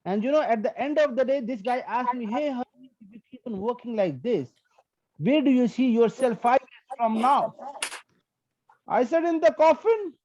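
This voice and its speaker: A man's voice: extremely high pitch, animated, normal speed, darkish tone, dry recording, dull audio. A man from India with an Indian accent whose first language is Hindi and Punjabi, doing a profane, brash stand-up set. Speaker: stand-up comedian.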